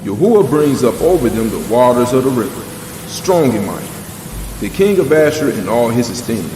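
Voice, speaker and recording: A man narrating a dramatized Bible reading in a solemn, declamatory and emphatic manner. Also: medium pitch. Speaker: scripture narrator. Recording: echoing voice over background music. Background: background music.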